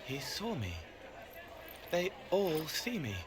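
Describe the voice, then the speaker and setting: A childlike, troubled young man who was once a spirit. He talks slowly and haltingly, with a plain, wistful tenderness, in video game cutscene style. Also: on the high side, big pitch swings, a crowd in the background. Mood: sad, searching.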